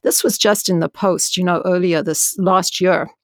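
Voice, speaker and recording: A woman who is a dean and scientist, telling her story frankly in an interview with a loud voice, peppered with "you know" and rising for emphasis. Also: lowish voice, fairly even intonation, moderate pace, no echo, studio quality.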